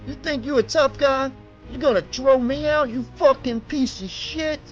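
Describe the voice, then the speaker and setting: A man's voice: very high voice, normal speed, dull audio, soft background music, pitched above the performer's own voice. A man voicing a brutal, burly male street thug with a Spanish-accented English, harsh, profane and taunting. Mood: menacing. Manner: taunting, menacing, loud.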